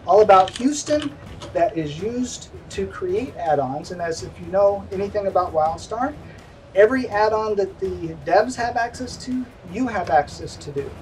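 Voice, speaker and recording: A man's voice: sits high, expressive, moderate pace, a little mellow, far from the mic, slight background noise, rough recording. Chatty man hosting a game stream. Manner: chatty, self-deprecating. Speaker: stream host. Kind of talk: casual game-stream chat. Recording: voice from away from the mic, faint music behind.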